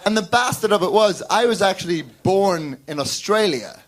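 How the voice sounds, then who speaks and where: A man from Northern Ireland with a Northern Irish accent, doing a wry, deadpan stand-up set on a club stage. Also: high-pitched, a crowd in the background. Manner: wry, deadpan storytelling with quick character voices. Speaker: stand-up comedian.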